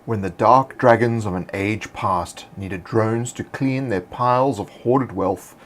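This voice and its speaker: A man hosting, reading fantasy monster lore in a measured, scripted narration. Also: lowish voice. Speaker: host.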